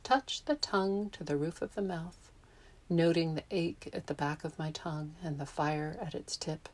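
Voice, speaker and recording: Low-voiced woman reading a reflective essay. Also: darkish tone. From Japan. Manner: reflective, measured.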